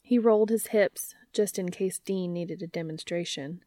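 A woman's voice: fairly low pitch, moderate pace, mellow, no echo, clean studio sound. A woman reading scripted fiction aloud as a story narrator.

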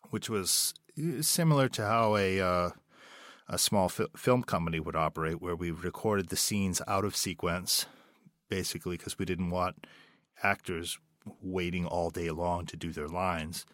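A man's voice: deep voice, normal speed, fairly bright tone, no room sound, studio quality. A man being interviewed about producing an audio drama, talking in a rambling, hesitant way. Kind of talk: interview guest.

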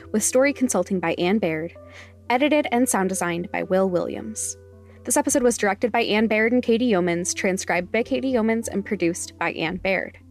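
A woman's voice: mid-range voice, a little mellow. A woman reading scripted podcast credits and announcements as the show's creator. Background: faint music.

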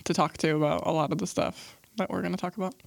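Gravelly-voiced young woman hosting a podcast. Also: on the low side, fairly even intonation, fast, no echo. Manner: friendly, rambling, lots of fillers. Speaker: podcast host. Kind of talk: casual interview.

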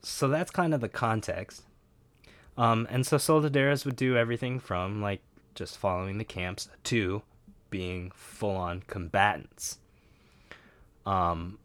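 A man with a fairly low voice co-hosts a relaxed podcast. He reads passages aloud from a web page and keeps breaking off for wry asides. The sound is close and dry, with no room around it. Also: no room sound. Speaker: podcast co-host. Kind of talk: casual chat, reading aloud from a web page.

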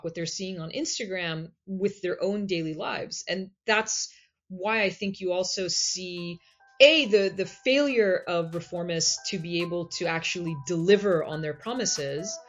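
A woman, a guest scholar, explaining history in a measured way and often checking in with "right?". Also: on the low side, lo-fi sound. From United States. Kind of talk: explanatory interview talk.

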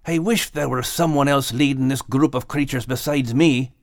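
A man's voice: medium pitch, fairly even intonation, normal speed, gravelly, dry recording, studio quality, close to an everyday voice. A man voicing a brave but self-doubting male dog with a Scottish accent for an audiobook. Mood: weary, frustrated.

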